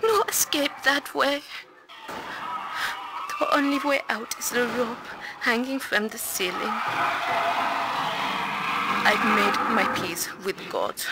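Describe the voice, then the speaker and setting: An actress playing a doomed, frightened Mars crew member leaving a grave, halting last testament in a horror game. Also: female voice, on the high side. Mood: solemn, pleading. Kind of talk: dramatic audio-log monologue in a horror game. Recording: noisy audio-log recording.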